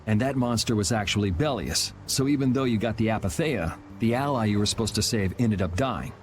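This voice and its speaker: Low, dry, sardonic young swordsman played by a man. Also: brisk, noisy background, lightly acted. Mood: dry, questioning. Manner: dry, laid-back, wry. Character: cool, sardonic young swordsman burdened by his choices. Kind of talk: video game dub acting. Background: background music.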